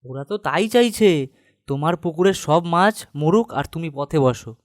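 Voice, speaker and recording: A man's voice: fairly high pitch, lively intonation, normal speed, lightly acted. A man acting a loyal, righteous villager in a cartoon, speaking in an earnest, urgent manner. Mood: reassuring. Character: loyal, righteous young villager warning his friend. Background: music.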